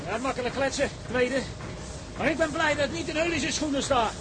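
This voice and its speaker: A man's voice: very high voice, brisk. An actor playing an agitated, loyal seaman in a radio drama, insistent and stammering over repeated words. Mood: stubborn. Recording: engine and sea noise behind.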